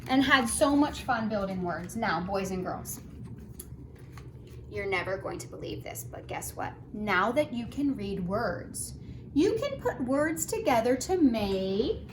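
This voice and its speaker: A woman teaching early reading to young children, speaking in a sing-song way, asking questions and sounding out letters and words. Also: on the high side. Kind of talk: phonics lesson for young children, read-aloud. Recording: roomy echo, clear background noise.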